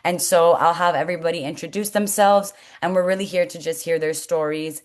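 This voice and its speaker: Low-voiced woman hosting a webinar. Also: fairly even intonation, a little fast, a little mellow. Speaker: host, college coaching staff. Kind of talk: hosting a webinar, semi-formal talk.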